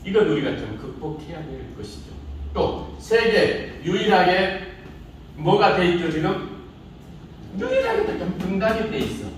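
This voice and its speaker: A middle-aged male speech instructor, lecturing in an informal way and needling his class with rhetorical questions. His pitch swings widely as he talks. He is heard from far off in a very echoey classroom, with faint room noise behind him. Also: huge pitch swings, measured pace. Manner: informal banter with students.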